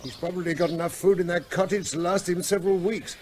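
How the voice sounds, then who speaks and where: A man's voice: sits high, steady tone, fast talker, darkish tone, a little hiss or hum, close to an everyday voice. An actor playing an earnest, persuasive man, a concerned friend, in a naturalistic radio drama. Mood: quiet, determined. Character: earnest, persistent male friend of a gunman, pleading calmly.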